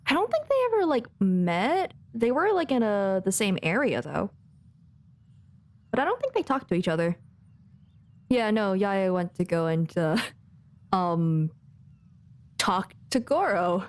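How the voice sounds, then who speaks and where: Laid-back, slow-paced woman game streamer. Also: on the high side, darkish tone. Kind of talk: casual live game commentary.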